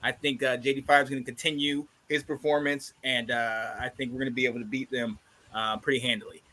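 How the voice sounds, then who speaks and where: A man hosting a casual football podcast, stuffed up, energetic and laughing often. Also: medium pitch, fast. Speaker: podcast host. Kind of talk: casual sports podcast chat.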